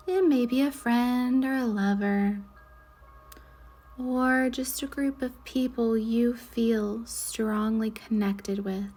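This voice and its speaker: A woman leading a guided meditation in a soothing, measured way, with soft breathy endings to her phrases. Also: on the high side, fairly even intonation, soft background music. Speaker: guided meditation leader, Reiki instructor. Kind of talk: slow guided meditation narration.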